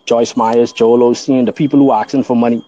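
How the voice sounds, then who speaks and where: Mellow-voiced Bahamian man explaining earnestly. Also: medium pitch, fairly even intonation, a little fast, lo-fi sound. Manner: earnest, explains at length with restarts. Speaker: guest. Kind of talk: casual podcast conversation.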